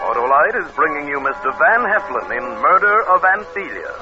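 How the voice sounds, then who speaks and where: A man's voice: on the high side, very animated, fairly quick. A man announcing a show in dramatic old-time radio style, on a dull vintage recording. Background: music.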